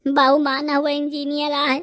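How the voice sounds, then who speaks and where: A gossipy cartoon villager acted by a man rambles in a Bundelkhandi accent. The voice is pitched up high and sounds artificial, with an earthy, exclamatory way of talking. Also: monotone, normal speed, fairly bright tone. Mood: pleading, flattering. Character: excitable, gossipy male villager in a comedy cartoon. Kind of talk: rustic comedy cartoon dialogue.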